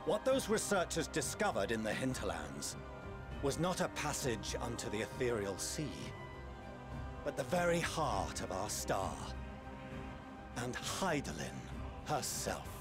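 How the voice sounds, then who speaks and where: Earnest scholarly statesman, expressive pitch. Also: music in the background. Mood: grave revelation. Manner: grave, deliberate storytelling. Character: dignified, earnest male scholar-statesman revealing a grave secret. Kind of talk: formal fantasy game exposition.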